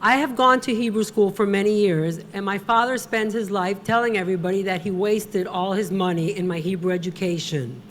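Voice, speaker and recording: A middle-aged woman presenting an award at a gala, giving a slow, deliberate, emotional tribute speech at a podium microphone. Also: medium pitch, slight background noise.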